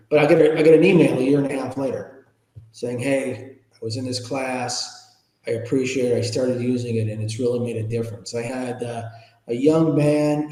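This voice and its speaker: A man's voice: medium pitch, mellow. A middle-aged man answering interview questions in a plain-spoken, conversational way, explaining with examples, in an echoey room. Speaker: podcast guest, ethics trainer and public speaker. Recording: echoey room with long reverb.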